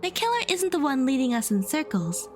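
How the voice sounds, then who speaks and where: A woman's voice: high-pitched, big pitch swings, brisk, a little mellow, faint music behind. A woman playing an earnest young student in an audio drama, reasoning insistently and emphatically. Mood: resolved, deducing. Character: earnest young female student defending the accused.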